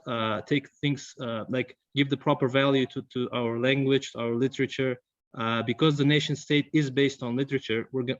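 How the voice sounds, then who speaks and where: A young man, giving a long, halting comment at a book discussion, full of fillers and restarts. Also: medium pitch. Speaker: audience member at a book discussion. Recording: dull lo-fi recording.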